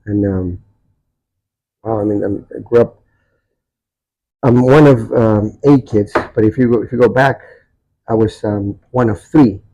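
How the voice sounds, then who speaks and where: A man in his sixties from Chile, a Spanish speaker, telling personal stories haltingly as he searches for words. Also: on the low side, warm tone, low-quality recording. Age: 60s. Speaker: podcast guest. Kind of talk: personal storytelling in a casual interview.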